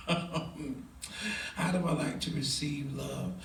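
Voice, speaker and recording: A man in his twenties, a preacher, answering interview questions hesitantly with many fillers and soft laughs. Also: on the high side, very slow, vocal fry. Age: 20s. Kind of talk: casual Q&A interview. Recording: echoey room, far from mic.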